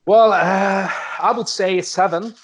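A man's voice: high-pitched, fairly even intonation, slow, husky. A man giving his opinion on a podcast, speaking hesitantly in non-native English and searching for words. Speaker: podcast panelist.

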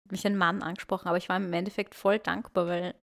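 Brief: woman, podcast co-host, creaky fry in her voice, quick wry comebacks. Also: fairly low pitch, little pitch movement, fairly bright tone. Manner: quick reactions, wry interjections. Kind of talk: casual chat.

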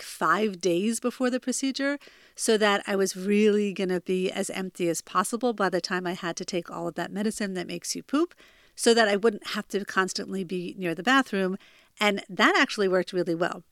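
A woman's voice: mid-range voice, dry recording, clean studio sound. An American woman in her forties from New Jersey, hosting a self-help podcast in an earnest, confiding, chatty way from a bedroom. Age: 40s. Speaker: podcast host. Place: childhood bedroom.

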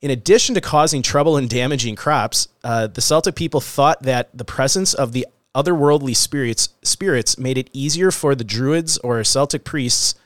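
A man's voice: medium pitch, normal speed, few pauses, no room sound, studio quality. Slightly nasal, chatty podcast co-host man. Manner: relaxed, chatty, frequent "uh" and "um". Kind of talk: casual podcast chat.

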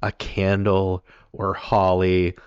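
A man with a very deep voice, rough and raspy from a cold, talks through a film discussion in a loose, rambling way. The sound is dry and a little muffled, with no room around it. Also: monotone, slow pace, dry recording. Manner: rambling, many ums and restarts. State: raspy from being sick. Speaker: podcast co-host.

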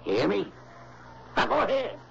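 An actor playing a short-tempered, blustering killer and blackmailer in a 1960s radio drama, stammering through slangy tough talk. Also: male voice, sits high, huge pitch swings, moderate pace, creaky, full character voice. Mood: menacing. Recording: noisy, dull old radio recording.

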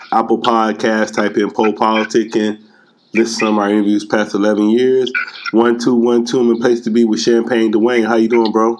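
A man hosting a casual hip-hop podcast interview, talking in an informal, slangy way. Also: mid-range voice. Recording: clear background noise, clicks.

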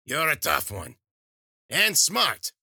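A man's voice: fairly high pitch, big pitch swings, slow, gravelly, bright, forceful tone, husky, no room sound, studio quality, voice pushed up, heavily acted. A man voicing a spiteful old woman witch, scolding and cursing.

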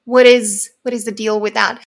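A woman's voice: fairly high pitch, little pitch movement, normal speed. A woman interviewing, asking questions with hesitant restarts. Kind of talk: casual interview.

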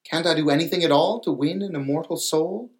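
A man's voice: fairly high pitch, fairly quick, voice pushed up, lightly acted. A man voicing a wistful, yearning young mermaid girl in a storybook, with an earnest, pleading manner. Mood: sad, pleading.

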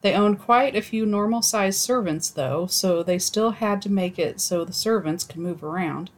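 Woman, casual co-host, reads stories aloud with dry, deadpan wit. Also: medium pitch, moderate pace. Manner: wry, deadpan asides and swearing. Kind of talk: casual chat reading posts aloud.

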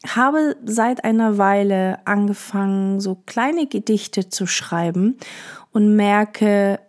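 A young woman from Portugal hosting a podcast, talking in a reflective, gentle way with many fillers. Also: medium pitch, slow. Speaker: podcast host. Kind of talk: casual personal monologue.

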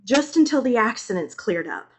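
A woman's voice: fairly high pitch, big pitch swings, normal speed, a little hiss or hum. A woman voicing a firm, brusque male police officer in an audio story, commanding and raised in voice. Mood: firm, calm.

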